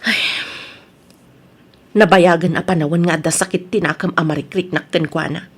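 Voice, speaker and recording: A woman acting a hurt, sharp-tongued grown daughter who holds a grudge, in an Ilocano radio drama. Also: on the low side, steady tone, normal speed, husky. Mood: hurt, subdued.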